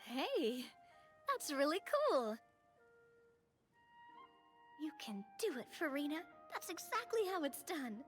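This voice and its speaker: A woman voicing a cheerful, polite young female adventurer in a video game, expressive and encouraging. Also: high voice, slow pace, pauses often, faint music behind, heavily acted. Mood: upbeat.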